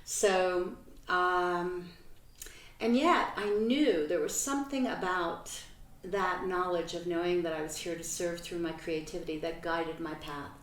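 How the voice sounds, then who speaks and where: An older woman from Belgium talks through her life story in an interview at home. She is earnest and reflective and pauses to find her words. Her voice sits low for a woman and sounds roomy, caught by a mic well away from her. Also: recorded from a distance. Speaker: interview guest.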